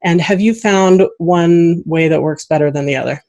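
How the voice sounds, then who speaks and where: A woman's voice: lowish voice, moderate pace, warm tone. A woman hosting a casual interview, asking questions in an encouraging, appreciative way. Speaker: podcast host, interviewer.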